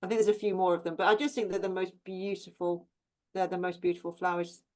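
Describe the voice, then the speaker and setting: A woman's voice: fairly low pitch, little pitch movement, fast. Chatty woman gardener, full of ums. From England. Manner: chatty and warm, with many ums and restarts. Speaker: professional gardener, guest. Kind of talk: casual chat about her plants.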